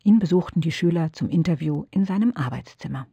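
A man narrating a radio feature in a calm, measured, scripted way. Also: a little high, creaky, slightly rough, dry recording.

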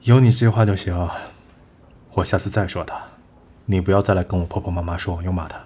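Low-voiced, blunt, proud producer played by an actor. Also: male voice, fairly even intonation, fast, a little mellow, husky. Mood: irritated, teasing. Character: blunt, proud, chain-smoking film producer. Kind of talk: Chinese radio drama acting.

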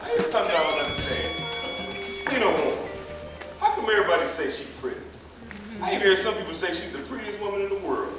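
An actor playing a stubborn, teasing cab driver, conversational and contrary in a staged play reading. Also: male voice, high voice, huge pitch swings, quick pace, pauses often, over music. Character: stubborn, teasing jitney driver debating women's looks. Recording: echoey hall, distant microphone.

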